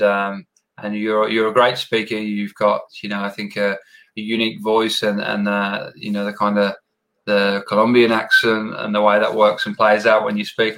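A man with a low voice who hosts a podcast interview. He encourages his guest and hesitates often, searching for his words. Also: fairly bright tone, rough recording. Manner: encouraging, hesitant, many fillers. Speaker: podcast host.

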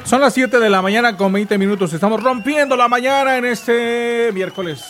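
A man's voice: extremely high pitch, moderate pace, over music. A man with a Mexican accent hosting a morning radio show in casual chat, addressing his co-hosts and listeners conversationally.

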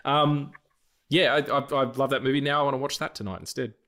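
A man co-hosting a film podcast, chatting casually, excitable and jokey, swearing freely. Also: medium pitch, fairly quick. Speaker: podcast co-host. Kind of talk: casual chat.

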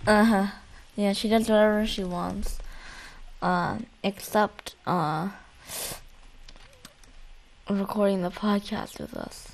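A woman chats on a gaming podcast in a medium-pitched voice. Her delivery is loose and unscripted, with words repeated as she finds her way, and she swears casually. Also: slow pace, gravelly, long pauses, poor audio. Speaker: podcast co-host.

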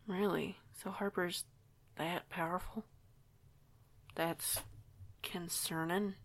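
Woman acting hesitant, curious young woman, creaky voice. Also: fairly low pitch, steady tone, slow. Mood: puzzled, concerned. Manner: hesitant, rambling, stammering. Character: curious, flustered young woman new to a wolf pack. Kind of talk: audio roleplay acting, casual dialogue.

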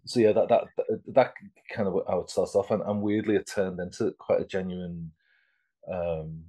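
A man in his fifties speaks in a deep, husky voice. He talks loosely and conversationally, restarting sentences and repeating words while he thinks aloud. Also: fairly even intonation, normal speed, dark tone. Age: 50s. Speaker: artist guest. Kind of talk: casual interview chat.

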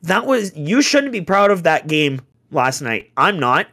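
A young man on a casual sports chat, ranting in an exasperated way with rhetorical questions, his voice going hoarse. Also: fairly high pitch, sing-song, unhurried, sharp tone. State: getting hoarse. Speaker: podcast co-host, hockey fan.

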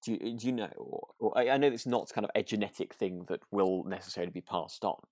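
A man co-hosting a relaxed conversational podcast, speaking tentatively in halting phrases. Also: lowish voice, dry recording, studio quality. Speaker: podcast co-host.

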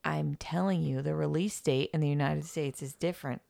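A woman co-hosting a podcast in casual chat, with a gravelly voice and a drowsy, yawning manner. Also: low voice, fairly even intonation, moderate pace, warm tone, dry recording. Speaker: podcast co-host. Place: United States.

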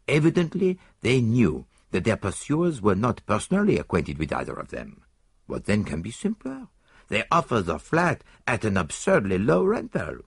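A precise, theatrical older detective, put on by a male audiobook reader. His tone stays mildly reproving and full of rhetorical questions, and the voice is gravelly, with a wildly lively pitch. The recording is dry and close. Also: no echo, exaggerated voice. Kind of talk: audiobook character voice.

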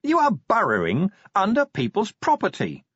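A male narrator plays a middle-aged police commander in an audiobook. He is gruff and combative, challenging people bluntly with a sardonic edge. His pitch rises and leaps about a great deal. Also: huge pitch swings, normal speed, fairly bright tone, dry recording, dull audio, full character voice. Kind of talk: audiobook character acting.

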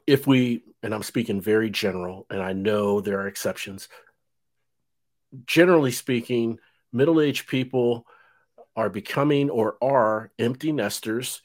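A man's voice: mid-range voice, measured pace. A man in his fifties hosting an interview podcast, talking in a rambling way with many fillers and restarts. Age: 50s. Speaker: podcast host. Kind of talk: casual interview chat.

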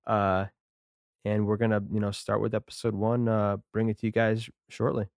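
A man's voice: lowish voice, flat delivery, normal speed, gravelly, darkish tone, dry recording, studio quality. A man in his thirties co-hosting a casual podcast, talking in a laid-back, rambling way with lots of fillers. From Connecticut, USA. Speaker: podcast co-host. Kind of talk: casual chat.

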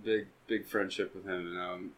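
Laid-back low-voiced young man. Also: normal speed, slightly rough, low-quality recording. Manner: relaxed, rambling, self-deprecating. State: recovering from being sick. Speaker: podcast co-host. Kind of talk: casual chat.

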